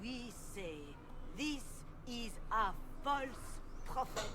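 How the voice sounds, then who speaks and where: A woman's voice: a little high, takes it slowly, background noise. An actress voicing a zealous female Chantry Mother in a video game, preaching accusations to a crowd. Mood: declaiming. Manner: declaiming, accusatory sermon. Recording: game audio with clear background ambience.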